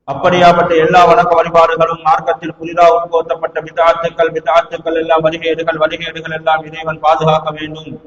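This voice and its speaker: A man with a Sri Lankan Tamil accent preaching an Islamic sermon emphatically and deliberately, in short phrases with repetition. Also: fairly high pitch, fairly bright tone, muffled recording. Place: large echoing indoor mosque hall. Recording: echoey hall, distant from microphone.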